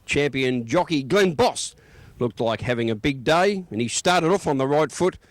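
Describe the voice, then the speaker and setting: A man's voice: medium pitch, expressive, moderate pace, dry recording. An Australian man reading a scripted racing report as a news reporter.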